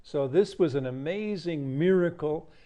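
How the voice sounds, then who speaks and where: A man's voice: on the high side, unhurried, warm tone. A man hosting a conversational TV interview, explaining things to viewers and affirming his guest with brief agreement. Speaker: TV interviewer and host.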